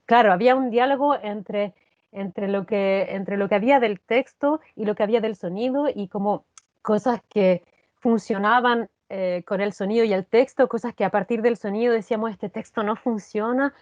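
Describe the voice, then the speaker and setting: Actress, dull dry recording. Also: female voice, medium pitch, moderate pace, darkish tone, dry recording. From Chile. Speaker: actress being interviewed. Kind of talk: conversational interview answers.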